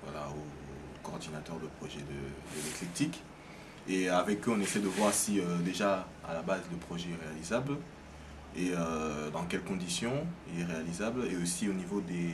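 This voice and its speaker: Low-voiced hesitant young man. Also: moderate pace. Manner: hesitant, many fillers and restarts. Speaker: youth project participant. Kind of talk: testimonial interview. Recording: noisy background.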